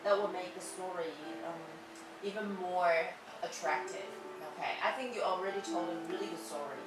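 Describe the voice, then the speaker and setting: A woman teacher gives feedback in a lower, rather monotone voice, checking in with her class as she talks. She is recorded from across an echoey classroom, with loud music playing behind her. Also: moderate pace, recorded from a distance. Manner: ends many sentences with a checking "okay?". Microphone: far from the mic.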